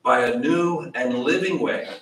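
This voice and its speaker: Middle-aged man, church teacher, lively questioning manner, roomy echo, heard from a distance. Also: mid-range voice, measured pace. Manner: animated, gestures widely, asks the audience questions. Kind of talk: Bible study teaching. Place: small church meeting room. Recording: echoey room, voice from far off.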